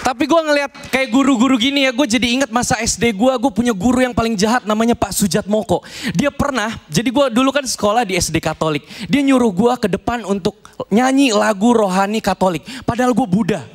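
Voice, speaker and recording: Young male stand-up comic, high voice, lively, acts out bits, handheld mic on a TV stage. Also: brisk. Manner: animated, acts out voices and dialogue. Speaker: stand-up comedian. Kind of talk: stand-up comedy set. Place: large TV studio stage.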